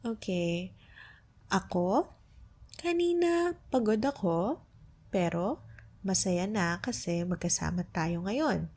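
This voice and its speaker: A young woman with a Filipino accent playing an affectionate, playful girlfriend in a soft ASMR roleplay, patiently tutoring her partner. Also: mid-range voice, lively intonation. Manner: teasing, tender, patient, explains words slowly. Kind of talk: soft ASMR roleplay, Tagalog lesson mixed with English.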